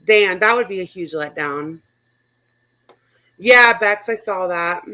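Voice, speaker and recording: A woman talks casually as a livestream panel host, with a middling pitch. Her manner is blunt and informal, and she swears freely as she gives gossipy commentary. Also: slow pace, sharp tone.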